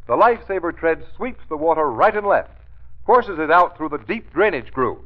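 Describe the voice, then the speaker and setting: A man announcing a 1930s radio advertisement in an emphatic, salesmanlike way. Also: a little high. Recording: muffled 1930s radio recording.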